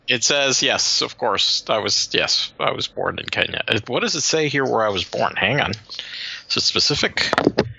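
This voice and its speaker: A woman's voice: a bass voice, expressive, moderate pace, vocal fry, sharp tone, slightly rough, lo-fi sound. A woman in her forties from Puerto Rico, co-hosting a podcast in rambling casual chat with many restarts and asides. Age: 40s. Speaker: podcast co-host.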